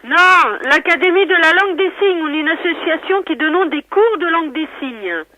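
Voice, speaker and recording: A woman answering the phone as a receptionist, brisk and correcting, in unscripted conversation. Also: extremely high pitch, expressive, pushed and bright. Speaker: association receptionist. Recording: dull lo-fi telephone line.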